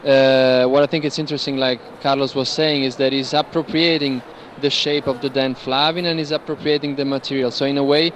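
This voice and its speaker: A man's voice: mid-range voice, level tone, brisk, few pauses. A man working as a curator, talking informally as he guides visitors around works at an art fair. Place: large indoor art fair among gallery stands. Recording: noisy fair hall ambience behind voice. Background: busy fair hall background noise.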